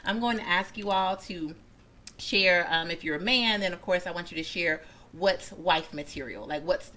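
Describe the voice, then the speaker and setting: A woman's voice: on the low side, moderate pace, bright, forceful tone. A woman hosting a casual group discussion in a chatty, informal way, often rephrasing her questions. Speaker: host of a panel talk show.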